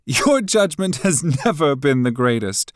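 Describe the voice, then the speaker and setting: A man's voice: fairly high pitch, big pitch swings, a little slow, dry recording, studio quality, voice pushed up. A man voicing a cold, mocking woman official who speaks coolly and sharply. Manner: cool, sharp, condescending.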